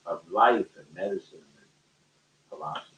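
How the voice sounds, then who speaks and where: A man's voice: low-pitched, moderate pace, a bit bright. A man speaking off the cuff in a rambling, colloquial and emphatic way, as a teacher in an online discussion. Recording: dull, echoey, distant-microphone call audio.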